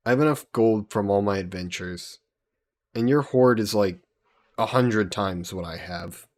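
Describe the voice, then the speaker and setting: A man voicing an easygoing, teasing young adventurer hero in an intimate fantasy roleplay audio drama, casual and gently coaxing. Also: fairly low pitch, measured pace, lightly acted. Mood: persuading, casual. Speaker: voice actor.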